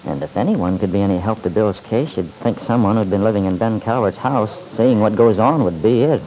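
An actor playing a plain-spoken, worried and sceptical friend, talking informally in an old-time radio drama. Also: male voice, fairly low pitch, fairly quick, a crowd in the background, lightly acted, poor audio. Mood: doubtful, worried.

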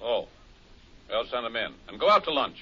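An actor playing a rude, bad-tempered older businessman with a husky voice, barking his lines in a muffled 1950s radio drama. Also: mid-range voice, sing-song, moderate pace. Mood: irritable. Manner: gruff, barking and contemptuous.

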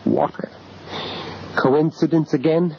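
A man acting a haunted, anxious British art dealer, narrating a radio horror tale with grave, halting dramatic delivery. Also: a little high, steady tone, gravelly, darkish tone. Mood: mounting dread. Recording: dull, noisy old radio recording.

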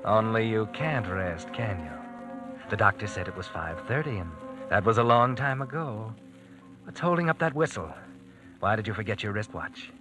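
An actor narrating a tense suspense thriller in old-time radio style, insistently addressing the hero. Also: male voice, fairly low pitch, expressive, moderate pace, close to an everyday voice. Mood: insistent, taunting. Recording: vintage radio recording with dramatic music.

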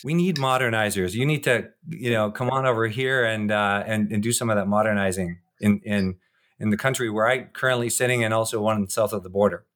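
A man co-hosting an interview podcast, introducing and questioning guests in an informal, somewhat halting and wordy way. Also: fairly low pitch, fairly bright tone.

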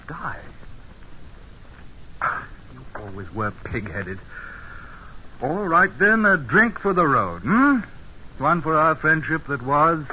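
An urbane British secret agent, persuasive as an old friend, with a very bright, crisp edge to the voice. It comes from a vintage radio play, muffled with faint hiss. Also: male voice, a little high, big pitch swings, slow pace, long pauses, heavily acted. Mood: exasperated. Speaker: actor. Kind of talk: old-time radio drama acting.

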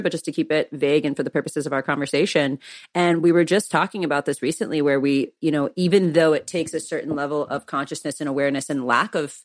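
A woman's voice: deep voice, no echo, clean studio sound. A woman co-hosting a podcast, speaking thoughtfully in long, rambling sentences with casual swearing. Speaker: podcast co-host.

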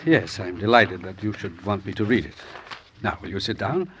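Casting: genteel, preoccupied scholar-revolutionary, lowish pitch, old radio drama with some noise. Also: male voice, lively intonation, moderate pace, fairly bright tone, dull audio. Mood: courteous. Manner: genteel, absent-minded, murmuring while reading. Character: courteous, preoccupied male intellectual revolutionary in exile. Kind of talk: 1950s radio drama acting. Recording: noisy old radio broadcast.

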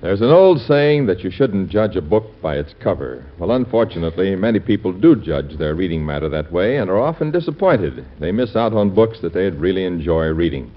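A man's voice: sits low, big pitch swings, fairly quick, few pauses. A man reading a scripted public service message as a radio announcer. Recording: dull, band-limited old radio recording.

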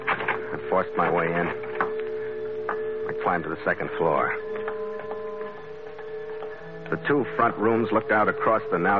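An actor playing a tough, calm skipper turned detective in an old radio drama, terse and matter-of-fact, narrating and speaking in character. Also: male voice, sits low, natural voice. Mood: grim, measured. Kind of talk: 1940s-50s radio drama acting, first-person narration and dialogue. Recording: muffled, noisy old radio recording.

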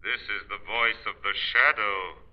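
A man playing an unseen, menacing crime-fighting avenger in 1940s radio drama style, commanding, solemn and deliberate. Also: fairly low pitch, fairly even intonation, lightly acted. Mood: stern accusing. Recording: muffled vintage radio recording.

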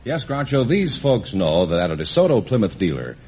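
A man's voice: fairly low pitch, sing-song, fairly quick, breathy edge, muffled recording. A man working as a radio announcer, delivering polished announcements and ad copy on an old noisy recording. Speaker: radio announcer and show sidekick. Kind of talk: polished announcing and scripted ad reading.

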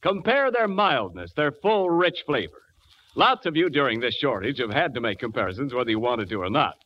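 A man's voice: mid-range voice, very animated, brisk. A man announcing in 1940s radio advertising style, with emphatic phrasing, on an old muffled recording.

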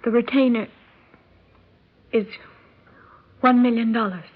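An actress playing an earnest young woman, a rich man's daughter, pleading softly in an old radio drama. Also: fairly high pitch, steady tone, slow, breathy edge. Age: young adult. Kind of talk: 1960s radio drama acting. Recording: dull lo-fi old radio recording.

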